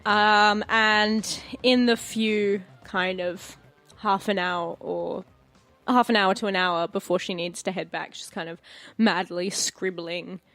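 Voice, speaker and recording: A woman's voice: mid-range voice, fairly even intonation, slow, fairly bright tone, dry recording, faint music behind. A woman playing a tabletop game, casually narrating her character's actions in the third person.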